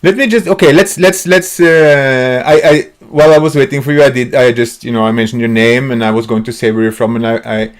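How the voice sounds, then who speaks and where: A man's voice: medium pitch, fairly quick, hardly any pauses. A man hosting a casual interview, asking long, stumbling questions. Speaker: host.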